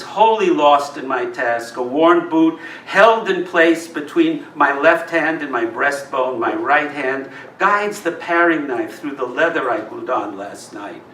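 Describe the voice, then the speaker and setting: Slow, expressive man reading poetry, distant mic. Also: fairly high pitch. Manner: deliberate, dramatic phrasing. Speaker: poet reading own work. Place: live reading in a roomy indoor venue. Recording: roomy live recording, distant mic, noisy.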